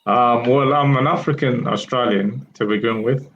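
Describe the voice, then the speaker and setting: A man in his thirties from Ethiopia, a guest in an online interview, speaking in a measured way with fillers over a video call. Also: mid-range voice, a bit bright. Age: 30s. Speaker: guest entrepreneur. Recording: dull lo-fi call audio.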